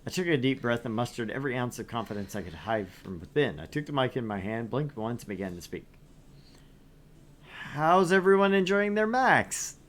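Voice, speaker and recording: A man hosting a let's play, reading a visual novel aloud with lively, animated delivery and joking asides. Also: mid-range voice, moderate pace, slight background noise.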